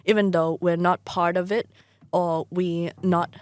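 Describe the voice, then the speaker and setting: A woman's voice: lowish voice, flat delivery, measured pace, darkish tone, no echo. A young woman from Thailand, a student guest on a podcast, chatting casually with many fillers. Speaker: guest, graphic designer and student. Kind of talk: casual interview chat.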